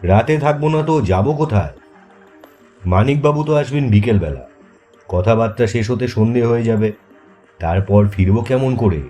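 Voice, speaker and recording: A man's voice: medium pitch, expressive, moderate pace, long pauses, dark tone, natural voice. An impatient man back at his family home, played by a male storyteller, talks in a brusque, questioning way. He sounds irritated, as in a radio play, over faint music. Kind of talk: dramatized horror audio story.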